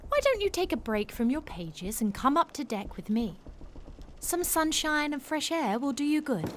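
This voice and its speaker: Bold, high-voiced seafaring woman. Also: very animated, moderate pace, background noise. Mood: kind, encouraging. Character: bold, playful, flirtatious seafaring woman, a storyteller. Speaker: actor playing a seafaring woman. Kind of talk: period audio drama acting.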